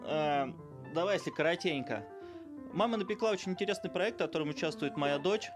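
A man's voice: on the high side, expressive, fast talker, over music. A middle-aged man, a father, talking casually and jokingly about his daughter's podcast, rambling as he goes. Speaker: guest parent. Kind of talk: casual home recording.

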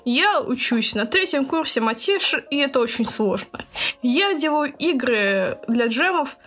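A woman's voice: high-pitched, animated, unhurried, fairly bright tone, soft background music. A twenty-year-old woman hosting a casual podcast chat in a playful, teasing manner. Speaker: podcast host.

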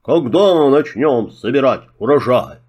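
A huge, distrustful elephant, put on by a man drags out every word in a loud, heavy drawl. He sounds wary and slow to agree. A lumbering figure from a children's filmstrip story. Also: medium pitch, animated. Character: slow, suspicious, hulking male elephant.

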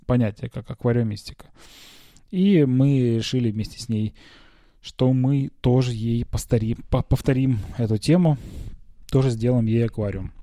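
Male podcaster, very mellow and muffled-warm tone, mid pitch, getting over a cold, hesitant conversational storytelling, dry room. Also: dry recording. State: recovering from a cold, slight cough. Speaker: podcast host. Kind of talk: casual personal storytelling. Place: small quiet bedroom, lying on a bed.

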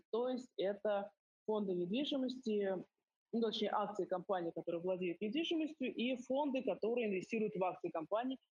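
A middle-aged woman, a financial advisor, walking through her investing approach point by point in a live voice chat, often pausing on "uh" and "mm". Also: medium pitch, darkish tone, breathy edge. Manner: methodical, structured into numbered points with frequent "э" and "м" fillers. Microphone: voice chat over phone or computer. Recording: dull lo-fi voice chat audio.

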